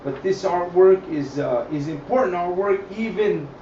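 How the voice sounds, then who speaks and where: A man's voice: high-pitched, normal speed, mellow. A man giving an improvised scripture and history lesson, emphatic, repeating phrases and checking in with listeners. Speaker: teacher of a religious group. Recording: echoey, distant mic, dull, faint noise.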